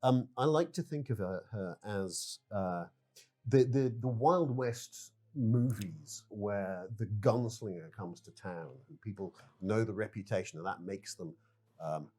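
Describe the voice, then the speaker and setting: A man's voice: fairly low pitch, a little mellow. A man answering interview questions as a game developer, speaking hesitantly and choosing his phrasing with care. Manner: hesitant, halting, careful phrasing.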